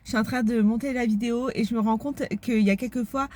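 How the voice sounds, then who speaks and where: A woman's voice: fairly high pitch, steady tone, fast talker, a little mellow. A woman with a blocked nose from a cold, chatting casually in a vlog with frequent fillers. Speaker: vlogger. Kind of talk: casual vlog talk to camera.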